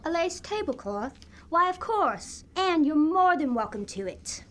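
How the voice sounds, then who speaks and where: A woman's voice: high-pitched, big pitch swings, measured pace, fairly bright tone, heavily acted. A woman acting an affectionate, playful and witty wife in a radio comedy. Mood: warm hospitality. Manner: playful, doting banter.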